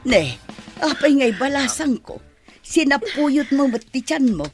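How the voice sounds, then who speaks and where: A woman's voice: sits high, animated, a little slow, faint music behind. An actress playing a devoted, motherly older household nanny who exclaims and fusses, in a radio drama. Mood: panicky. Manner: exclaiming, fussy, effusive.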